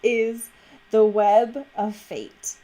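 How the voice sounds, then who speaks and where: A woman co-hosting a podcast in casual banter, playful, slangy and quick to swear. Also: fairly high pitch, flat delivery, mellow, low-quality recording. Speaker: podcast co-host.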